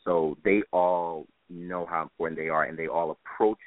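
A man's voice: deep voice, steady tone, moderate pace. A man being interviewed as a stage director, speaking conversationally and earnestly, repeating phrases for emphasis. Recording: tinny band-limited phone line, roomy echo.

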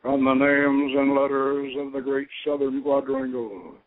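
Slow, halting man on a tinny line. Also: mid-range voice, level tone, no room sound. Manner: halting, deliberate. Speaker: host leading a ritual. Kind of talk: informal ritual instruction mixed with solemn chanted invocations. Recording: narrow tinny phone-like radio sound.

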